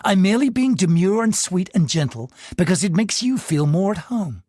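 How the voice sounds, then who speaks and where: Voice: mid-range voice, lively intonation, normal speed, mellow, no echo, studio quality, voice pushed up, lightly acted. An audiobook narrator voicing a confident, guarded young businesswoman thinking wry private thoughts. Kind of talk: audiobook character voice.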